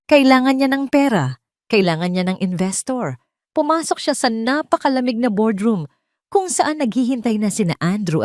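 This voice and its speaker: A woman's voice: mid-range voice, darkish tone, no echo, clean studio sound. A woman with a Filipino accent reading a dramatic scripted story as an audio drama narrator.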